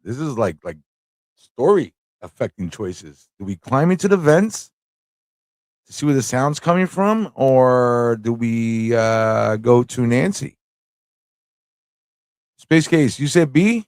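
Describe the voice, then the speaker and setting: A man's voice: medium pitch, big pitch swings, slow pace, pauses often, darkish tone, dry recording, clean studio sound. A man hosting a casual livestream, informal and playful as he thinks aloud and chats with viewers. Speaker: livestream host playing an interactive story.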